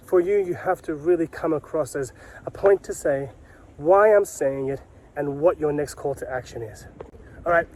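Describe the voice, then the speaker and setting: A man's voice: a little high, darkish tone, slight background noise, low-quality recording. A man giving an instructional talk to camera as a sales coach, speaking emphatically with repeated key phrases and rhetorical questions. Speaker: sales coach and video host.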